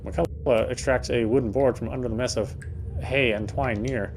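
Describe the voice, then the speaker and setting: A man's voice: medium pitch, fairly even intonation, moderate pace, a little mellow, a little hiss or hum. A man reading a horror game's first-person narration aloud in a dramatic storytelling style. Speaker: let's player reading game text aloud.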